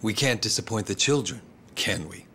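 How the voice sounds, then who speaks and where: An actor playing a composed, self-assured male politician in a video game, speaking in measured, polite phrases. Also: lowish voice, sharp tone, a little hiss or hum. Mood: composed, confident. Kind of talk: English video game voice acting.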